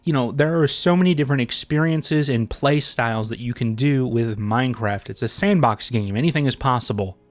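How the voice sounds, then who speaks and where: A man delivers casual, opinionated commentary as a video essay host. His voice sits at a middle pitch in a very dry recording. He is blunt and sarcastic, swearing freely. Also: brisk, few pauses, no echo. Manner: blunt, sarcastic, heavily profane.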